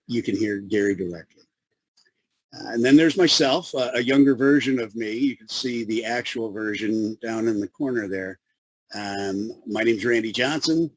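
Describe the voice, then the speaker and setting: A man with a Chicago accent giving a webinar presentation as a consultant, with a radio-style voice and a halting manner full of fillers and restarts. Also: fairly low pitch, dry recording, rough recording.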